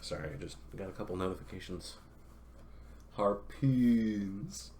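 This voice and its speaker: A young man with a lowish voice reads an old novel aloud at an easy pace. He keeps stopping to chat with his viewers, often correcting himself mid-phrase. A faint hiss sits under the voice. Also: expressive, dark tone. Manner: unhurried reading broken by chatty, self-correcting asides. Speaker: streamer reading a novel aloud. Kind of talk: reading a classic novel aloud with casual asides to chat.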